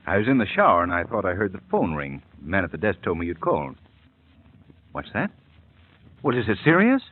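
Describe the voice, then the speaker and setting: An actor playing a suave male gentleman hero who speaks with urgent authority, in 1940s radio drama style, on a tinny old broadcast. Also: sits low, wildly swooping pitch, fairly quick, heavily acted.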